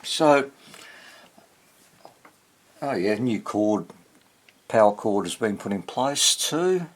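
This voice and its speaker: A man hosting a casual, unscripted radio repair walkthrough, thinking aloud hesitantly and muttering questions to himself, in a husky, gravelly voice. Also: fairly low pitch, lively intonation, slow. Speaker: radio repairer and video host.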